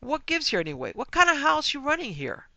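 Narrator doing a booming worked-up husband in a story, pitched way up, forceful. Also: male voice, huge pitch swings, brisk, creaky, no echo, heavily acted. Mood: agitated bluster. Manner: booming, blustering. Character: agitated, booming husband, loud party guest.

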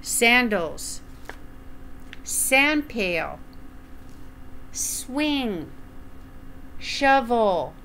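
An older woman reading a children's picture book aloud at home, enunciating deliberately and putting questions to the young listener. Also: fairly high pitch, very animated, bright, forceful tone, slight background noise, low-quality recording. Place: small room at home, indoors.

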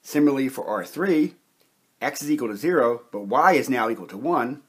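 A man with a slightly husky voice giving a measured, methodical lecture, explaining step by step as an instructor. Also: mid-range voice, lively intonation. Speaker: chemistry instructor.

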